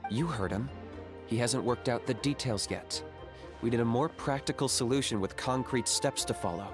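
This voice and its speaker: A man voicing a calm, blunt young man in a game cutscene who speaks in a dry, matter-of-fact way. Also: mid-range voice, moderate pace, natural voice. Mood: deadpan. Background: game score music.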